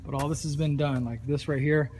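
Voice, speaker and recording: A man's voice: fairly high pitch, steady tone, brisk, a little mellow, a little hiss or hum. A man talking casually and matter-of-factly as he walks a job site, with fillers and restarts, recorded on a phone outdoors in a stadium. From United States. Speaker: pressure washing contractor. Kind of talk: casual walkthrough narration. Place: outdoor high school stadium stands.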